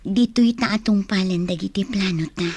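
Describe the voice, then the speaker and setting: Husky, conflicted young Filipino woman. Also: mid-range voice, normal speed, mellow, dry recording. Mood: subdued, devoted. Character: conflicted young woman torn between two suitors. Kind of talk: Ilocano radio drama acting.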